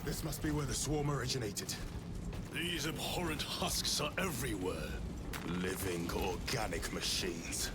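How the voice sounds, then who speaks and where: An actor playing a zealous, grim super-soldier who makes solemn, scornful pronouncements in a video game. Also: male voice, medium pitch, lively intonation, a little slow, very noisy, natural voice. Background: loud game score music.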